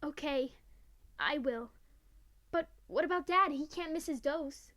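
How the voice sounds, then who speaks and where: A woman's voice: high-pitched, a little slow, pushed and bright. A woman acting in an audio drama as an earnest, dutiful young pony daughter. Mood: worried.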